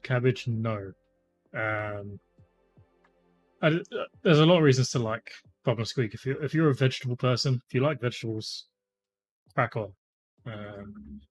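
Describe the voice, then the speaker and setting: A man in his thirties chatting casually as a stream host, rambling with lots of fillers, his voice slightly croaky. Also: mid-range voice, darkish tone. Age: 30s. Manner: rambling, chatty, many fillers, greets viewers by name. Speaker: livestream host. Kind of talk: casual live-stream chat. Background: faint lo-fi music.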